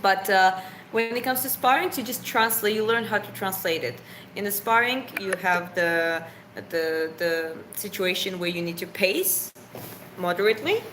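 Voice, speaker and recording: A woman's voice: mid-range voice, expressive, normal speed, fairly bright tone, reverberant, slight background noise. A woman co-hosting a live stream Q&A, chatty and explaining with loose, slightly unusual phrasing. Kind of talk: casual Q&A chat.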